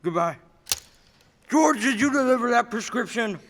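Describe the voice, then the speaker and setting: A man playing a drunk, grieving old druggist in a radio play, loud and volatile. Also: very high voice, expressive, moderate pace, a little hiss or hum, full character voice. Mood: angry, agitated. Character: drunk, grief-stricken, angry old druggist. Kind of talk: 1940s radio play acting.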